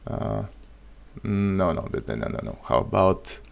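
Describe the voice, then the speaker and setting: Gravelly low-voiced man with a Bulgarian accent. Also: takes it slowly, dull audio, a little hiss or hum. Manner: thinking aloud, relaxed and joking. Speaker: programming streamer. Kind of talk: live coding tutorial.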